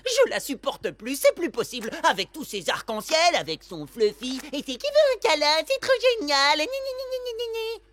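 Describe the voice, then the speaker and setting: A woman's voice: high-pitched, very animated, normal speed, hardly any pauses, a bit bright. A woman voicing a melodramatic, easily exasperated young male amoeba with a whiny delivery in a French cartoon. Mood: exasperated, mocking. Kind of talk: French animated cartoon dubbing. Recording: music behind the voice.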